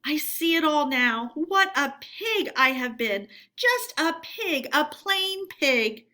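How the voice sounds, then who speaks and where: A woman voicing a kindly, resolute male rat in a storybook, with a chummy, old-fashioned gentlemanly manner. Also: sits high, lively intonation, voice pushed up, exaggerated voice. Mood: gloomy, remorseful. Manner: brisk, reassuring, old-fashioned chummy talk.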